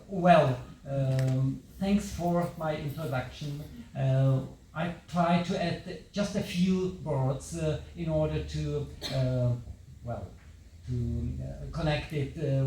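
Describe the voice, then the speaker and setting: A man's voice: medium pitch, mellow. Man, non-native speaker, university lecture, hesitant, lots of fillers, heavy hall echo, faint background noise. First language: not English. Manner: hesitant, many fillers and restarts. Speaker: visiting lecturer. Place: large echoing lecture hall. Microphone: handheld mic at some distance. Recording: echoey hall, distant mic, faint room noise.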